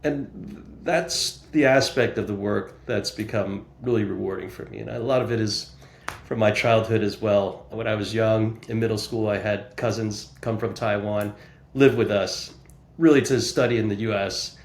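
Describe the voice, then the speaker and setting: A man's voice: fairly low pitch, steady tone, normal speed, reverberant, a little hiss or hum. An American man, a guest on a podcast, introducing himself and his work conversationally. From United States, New Jersey. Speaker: podcast guest, real estate broker.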